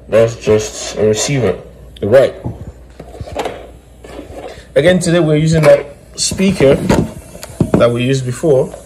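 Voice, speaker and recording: A man's voice: mid-range voice, warm tone. Man giving hands-on tutorial, noisy recording. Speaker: host of DIY electronics tutorial. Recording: clear background noise with clicks.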